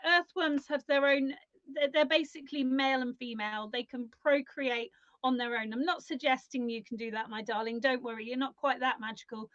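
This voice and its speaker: A woman's voice: high-pitched, fairly bright tone, dry recording. A woman in her forties giving soothing psychic readings on a live stream, calling listeners darling and love. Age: 40s. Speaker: psychic reader, co-host. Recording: dull, dry lo-fi stream audio.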